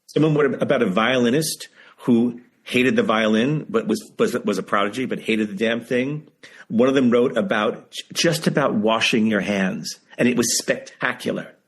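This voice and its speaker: A man's voice: medium pitch, moderate pace, slightly rough. A seventy-year-old man talking candidly as an interview guest, emphatic and repeating phrases for stress. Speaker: guest, author and writing teacher. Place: video call.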